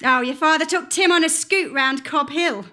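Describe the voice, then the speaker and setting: A doting working-class mother with a high, forceful voice. She fusses fondly and scolds with a sharp tongue, in radio-play style. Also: normal speed. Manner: affectionate, scolding. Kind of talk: stage radio-play acting.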